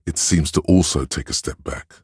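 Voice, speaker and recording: A man voicing a solemn, tender inner voice that reads others' feelings in a video game, measured and brooding in delivery. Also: darkish tone, dry recording, clean studio sound, exaggerated voice. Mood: brooding, gentle. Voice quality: deep, husky, gravelly. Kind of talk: scripted video game narration.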